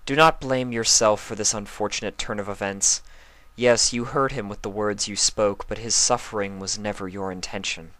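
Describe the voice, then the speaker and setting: A man voicing a regal, consoling pony princess with a formal, measured manner in a storybook reading. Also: lowish voice, fairly even intonation, fairly bright tone, no echo, natural voice.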